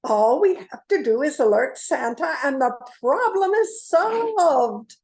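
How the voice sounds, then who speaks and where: Lady doing earnest young girl heroine, high voice, takes her time, echoey room, radio play. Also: expressive, takes it slowly, a little mellow, exaggerated voice. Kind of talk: amateur radio play acting.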